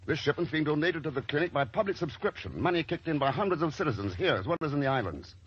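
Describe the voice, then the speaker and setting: A man playing a brisk, wry, self-assured investigator in an old radio drama. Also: fairly high pitch, lively intonation, lightly acted. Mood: frustrated, urgent. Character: cool, tough, wry male investigator hero. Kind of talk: 1940s radio acting. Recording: muffled, hissy old radio recording.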